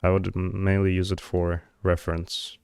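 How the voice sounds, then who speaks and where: Low, flat-voiced man musing. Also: normal speed, gravelly, clear, resonant tone, dry recording. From Georgia. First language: Georgian. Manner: hesitant, many fillers and trailing thoughts. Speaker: streamer. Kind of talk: thinking aloud while working on a live stream.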